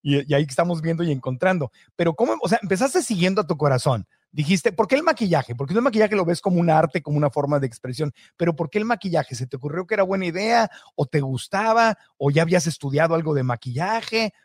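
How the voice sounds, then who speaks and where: A man hosting a podcast with a slightly high voice. He asks his guest chain after chain of questions, and the recording is dry and close. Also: expressive, fast, hardly any pauses, husky, no echo. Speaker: podcast host. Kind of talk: interview conversation.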